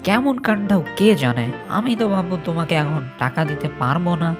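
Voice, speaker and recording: Woman voicing a low-pitched, stingy timber boss. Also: very animated, fairly quick, over music. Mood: suspicious, refusing.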